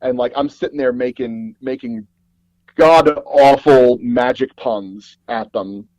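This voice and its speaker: A man being interviewed as a guest, telling personal anecdotes in a rambling, halting way and often circling back to rephrase. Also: mid-range voice, a little mellow, dry recording, low-quality recording. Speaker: guest audio drama producer and actor.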